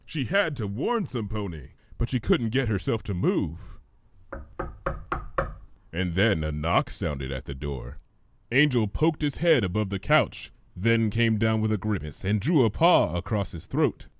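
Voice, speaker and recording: A man reading a scripted story aloud as an expressive narrator. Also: on the low side, unhurried, slight background noise. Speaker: fan fiction reader, narrator.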